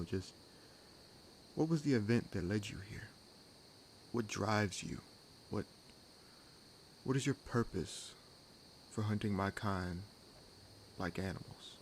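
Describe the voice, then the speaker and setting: Man acting deep-voiced, sardonic old vampire, crickets behind. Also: poor audio. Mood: probing, curious. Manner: dry, sardonic, musing, unhurried pauses. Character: centuries-old male vampire, wry, world-weary, sardonic companion. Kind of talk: audio roleplay acting addressed to the listener. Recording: clear background hiss and night ambience. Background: added forest ambience with crickets and insects.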